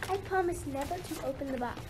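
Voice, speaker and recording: An eager, curious young bride, acted by a woman in a radio play. Her voice is extremely high. The recording carries clear background noise. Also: quick pace, natural voice. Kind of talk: theatrical radio play acting.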